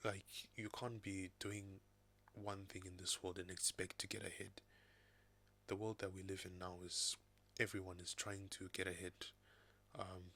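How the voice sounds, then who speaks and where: A man vlogging casually from his desk at home, raspy from recovering from an illness, thinking aloud in a loose, rambling way. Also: sits low, pushed and bright. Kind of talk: casual talking-head vlog. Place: home desk with laptop and second screen.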